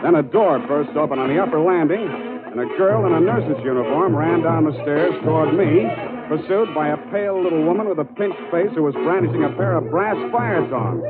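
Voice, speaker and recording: A man playing a wry, cool private detective with a dry, deadpan delivery in 1940s radio style. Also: fairly high pitch, a little fast, close to an everyday voice. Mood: wry narrating. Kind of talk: 1940s radio detective acting, narrating and in dialogue. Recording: dull old radio recording with music.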